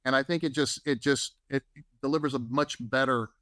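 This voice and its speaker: A man's voice: mid-range voice, steady tone, brisk, pushed and bright, dry recording, clean studio sound. A man co-hosting a podcast, giving advice in a conversational way that leans on "right?" and "you know". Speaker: podcast co-host. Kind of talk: casual advice talk.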